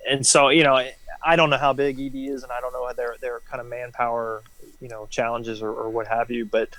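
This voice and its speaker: A man's voice: mid-range voice, little pitch movement, a little fast, a bit bright, no echo, rough recording. Casual man explaining, close dry sound. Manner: informal, explanatory, frequent "you know" and "right?". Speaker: interview guest, former military helicopter pilot. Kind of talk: casual interview conversation.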